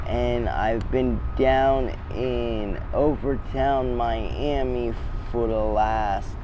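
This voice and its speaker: Slow-talking man in his thirties, street traffic. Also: medium pitch, steady tone, highs cut off. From born in Czechoslovakia, raised in Baltimore, Maryland. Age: 30s. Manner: halting, plainspoken, pauses between phrases. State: hiccuping. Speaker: interviewee. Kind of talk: candid personal storytelling. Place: outdoors on a city street. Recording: outdoor street noise. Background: passing traffic.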